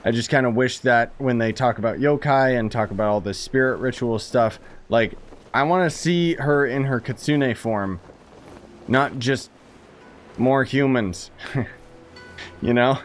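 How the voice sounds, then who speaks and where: A man's voice: medium pitch, unhurried. A young man giving casual, joking, sarcastic commentary while playing a video game. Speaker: gaming commentator. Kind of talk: casual let's-play commentary.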